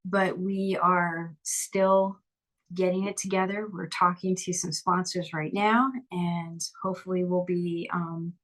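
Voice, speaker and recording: A woman's voice: fairly low pitch, little pitch movement, a little slow, poor audio. A woman musician chatting casually as an interview guest, upbeat and talkative with frequent restarts. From Lehigh Valley, Pennsylvania.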